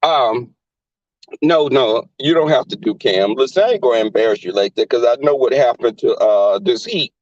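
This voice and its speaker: Man, Southern accent, live-stream host, crass, flamboyant, high squeals, dull lo-fi audio, dry sound. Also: moderate pace, darkish tone, no echo. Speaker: livestream host. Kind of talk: casual live-stream chat.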